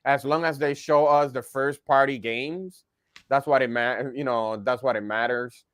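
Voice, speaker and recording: A man's voice: mid-range voice, steady tone, normal speed, fairly bright tone, clean tone, no room sound, clean studio sound. A man chatting casually as a panelist on a video game podcast. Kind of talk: casual panel discussion about video games.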